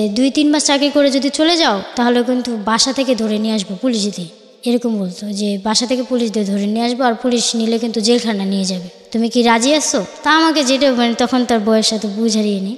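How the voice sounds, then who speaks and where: Young Bangladeshi woman, high voice, halting personal storytelling with reported dialogue, echo-heavy room. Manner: halting, self-correcting, acts out reported dialogue. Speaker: guest telling life story. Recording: echoey, reverberant room.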